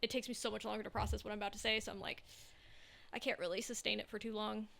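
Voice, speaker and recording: A woman from Southern California with vocal fry, co-hosting a casual podcast in a relaxed, rambling way. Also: medium pitch, racing. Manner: relaxed, rambling, trailing off mid-thought. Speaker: podcast co-host. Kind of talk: casual chat.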